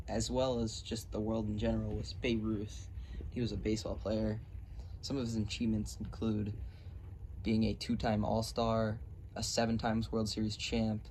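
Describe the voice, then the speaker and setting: Male presenter, lower-pitched, slightly halting read of a factual script, faint noise on an amateur recording. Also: a little mellow, poor audio. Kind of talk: scripted narration in question-and-answer form, read aloud.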